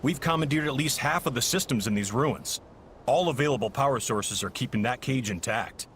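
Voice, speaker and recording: An actor voicing a cool, calculating male villain leader in a video game, calm and commanding. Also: medium pitch, brisk, a little hiss or hum, natural voice. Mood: cold.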